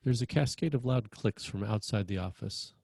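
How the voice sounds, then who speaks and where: A man's voice: lowish voice, normal speed, creaky, dark tone, breathy edge, no room sound, clean studio sound. A man narrating screenplay stage directions in a scripted read.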